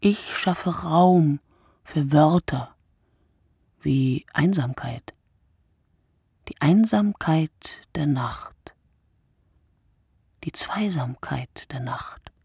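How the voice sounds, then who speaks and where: A woman playing a brooding, solitary writer in a radio play monologue, speaking deliberately and poetically, often dropping to a breathy hush. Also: low-pitched, dry recording, studio quality, full character voice. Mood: intimate, poetic. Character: wakeful solitary night writer, a woman, brooding and word-obsessed.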